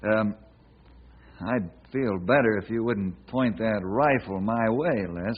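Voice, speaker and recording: An actor playing a steady, kindly ranger in an old-time radio western, speaking in an earnest, folksy, persuasive way. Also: male voice, on the low side, takes it slowly, heavy background noise. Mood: earnest, calm. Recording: dull, lo-fi old radio recording.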